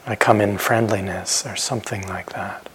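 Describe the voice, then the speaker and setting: A man giving guided meditation instructions as a teacher, speaking gently and unhurriedly with pauses and phrases that trail off softly. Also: lowish voice, fairly even intonation, slight background noise. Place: meditation retreat center.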